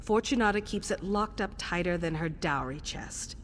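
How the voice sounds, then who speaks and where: An actress plays a composed mistress of assassins in a fantasy game. Her voice is low and measured, with dry wit and calm authority. Background noise sits behind her. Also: natural voice. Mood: dry, informative. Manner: measured, dryly wry, commanding. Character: composed sardonic female assassin guild superior briefing an initiate. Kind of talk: scripted video game quest dialogue.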